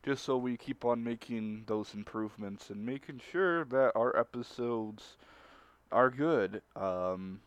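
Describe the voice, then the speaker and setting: Male co-host, crisp and smooth with a dry sound, halting conversational delivery that circles back to rephrase. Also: mid-range voice, no echo. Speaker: stream co-host. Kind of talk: casual group chat.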